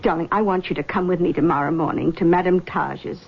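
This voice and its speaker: Husky, coaxing middle-aged mother. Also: fairly low pitch, fairly quick. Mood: persuasive. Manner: coaxing, cooing endearments. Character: doting, controlling middle-aged mother, soothing and insistent. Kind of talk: 1970s radio drama acting. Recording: dull, lo-fi 1970s radio recording.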